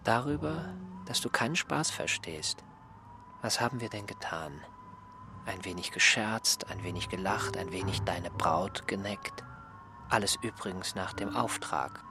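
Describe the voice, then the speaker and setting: An actor in a radio play playing a tired, sickly former assistant, sardonic and plaintive. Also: male voice, fairly low pitch, animated, a little slow. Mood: quiet, reproachful. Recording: loud music underneath. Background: music.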